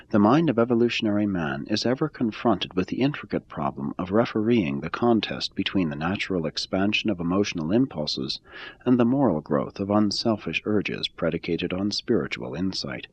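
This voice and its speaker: A man reading a religious text aloud in a measured, even-paced scripted style. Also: low-pitched, slightly rough, dull audio. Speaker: reader.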